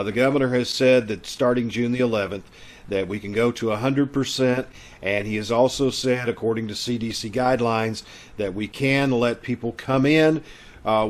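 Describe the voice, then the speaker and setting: A man with a folksy, chatty way of talking hosts a show on a video call. He speaks at a middle pitch in a casual, welcoming host's style, making announcements. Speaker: host.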